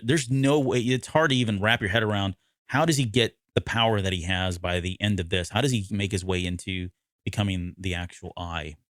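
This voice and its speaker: Guy w a lowish voice recapping stuff on a podcast, very casual, dry sound, kinda stop and start. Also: fairly bright tone, no room sound. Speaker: podcast co-host. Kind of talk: casual discussion recapping an audio drama.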